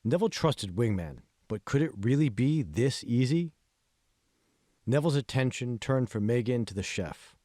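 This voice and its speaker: A man's voice: medium pitch, lively intonation, moderate pace, mellow, no room sound, clean studio sound. A man reading scripted audiobook narration of a novel. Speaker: author reading own audiobook.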